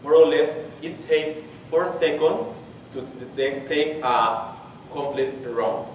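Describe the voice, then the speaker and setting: A man in his twenties from Peru with a Spanish accent, his first language, giving a technical conference talk and haltingly restarting phrases as he searches for English words. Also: on the high side. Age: 20s. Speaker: conference presenter. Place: large conference hall. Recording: very echoey hall, distant mic, faint hiss.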